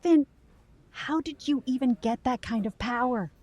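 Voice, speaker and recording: A woman's voice: high-pitched, unhurried, a little mellow, husky, no echo, lo-fi sound. A woman playing a concerned, questioning girlfriend in a supernatural audio drama, earnest and probing. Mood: worried, probing.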